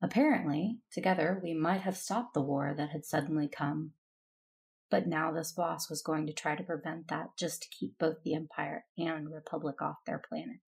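Female Jedi lead for audio drama: earnest, determined, introspective, on the lower side with gravelly edges. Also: fairly even intonation, moderate pace. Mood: grim, reflective. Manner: introspective, earnest first-person narrating. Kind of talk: audio drama acting with narration.

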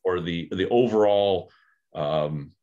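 Deep-voiced man, hesitant and thoughtful. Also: slow, mellow, dull audio. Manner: thoughtful, hesitant, many fillers and restarts. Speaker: aikido practitioner, podcast co-host. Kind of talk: casual podcast discussion.

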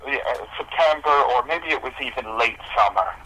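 A man's voice: medium pitch, normal speed, pushed and bright, slight background noise, rough recording. A man speaking as a musician guest in a casual interview, hesitant and self-deprecating as he explains himself.

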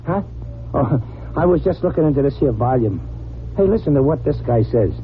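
Dim, excitable cabbie played by an actor, tinny radio. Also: male voice, medium pitch, fairly quick, natural voice. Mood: amused, naive. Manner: slangy, naive, excitable. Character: dim, good-natured, streetwise cabbie, a man. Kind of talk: 1940s radio acting. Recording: tinny, noisy old radio recording.

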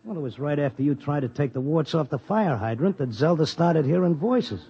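An actor playing a streetwise Broadway race tipster, talking blunt and slangy in a 1950s radio drama. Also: male voice, fairly high pitch, expressive, fairly quick, mellow, slightly rough, muffled recording. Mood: determined. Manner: slangy, blunt, tough-guy talk. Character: streetwise Broadway racetrack tipster, devoted husband. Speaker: narrator and lead.

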